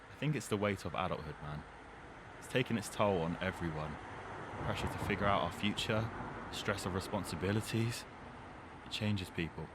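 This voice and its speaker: A noisy recording holds a young man acting as a serious teenage lad with a low voice. He speaks earnestly and reflectively, weighed down by growing up, in an amateur audio drama. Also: moderate pace, lightly acted. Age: young adult.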